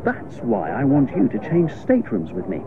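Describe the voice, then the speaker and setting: Breezy upper-class English gent, very animated. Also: male voice, mid-range voice, normal speed. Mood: confiding. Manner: breezy, exclamatory, old-fashioned slangy. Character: breezy, chatty upper-class young gentleman idler. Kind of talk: radio comedy drama acting. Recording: muffled, noisy radio play recording.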